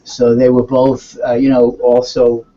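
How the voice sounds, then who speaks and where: A man's voice: medium pitch, monotone, a little slow, mellow, muffled recording. A man from Brooklyn hosting a school poetry stream, talking in a chatty, upbeat way with loose slang over a video call. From Brooklyn, New York. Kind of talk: casual chat.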